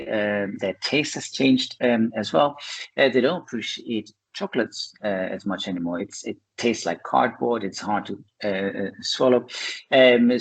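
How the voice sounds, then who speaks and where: A man from Belgium with a Flemish accent, a Dutch speaker, talking as a guest in an interview, pausing often with fillers. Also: medium pitch, creaky, slightly rough, poor audio. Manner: hesitant, many uh and um fillers. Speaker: guest, charity founder. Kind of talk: conversational interview.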